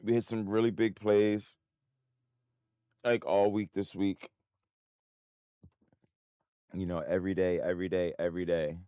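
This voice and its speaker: Hoarse guy with a real low voice rambling thru his trading stream, dead dry sound. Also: little pitch movement, unhurried, pauses often, warm tone, no echo. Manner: rambling, many restarts and fillers. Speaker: trading livestream host. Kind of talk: casual live-stream talk.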